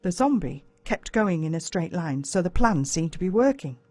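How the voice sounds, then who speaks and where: A woman with an Australian accent reads a first-person story as a dramatic, expressive audiobook narrator. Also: fairly low pitch, normal speed, no room sound.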